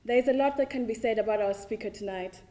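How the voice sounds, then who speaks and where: A young woman from Kenya with a Kenyan accent, a student giving a formal, measured introduction of a lecture speaker. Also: on the high side, mellow. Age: young adult. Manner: measured, read from a script.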